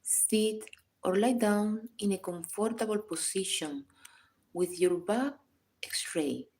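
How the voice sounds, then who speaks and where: A woman reading a scripted guided meditation in a calm, measured, instructional manner. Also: mid-range voice, a little hiss or hum. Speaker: psychologist guiding a meditation.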